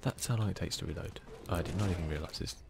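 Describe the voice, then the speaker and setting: A man giving soft, near-whispered ASMR commentary as he hosts a gaming video, in a casual, muttering, self-deprecating way. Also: sits low, fast talker, creaky, noisy background.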